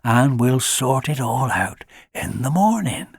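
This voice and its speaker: A man voicing a brisk, stern adult male fox who scolds in a fatherly way. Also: mid-range voice, very animated, creaky, husky, no room sound, studio quality, heavily acted.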